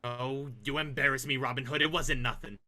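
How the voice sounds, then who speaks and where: A man's voice: medium pitch, fairly even intonation, brisk, pushed and bright. A man playing a bashful, plain-spoken cartoon sailor with folksy, mangled grammar in a 1940s-style radio comedy.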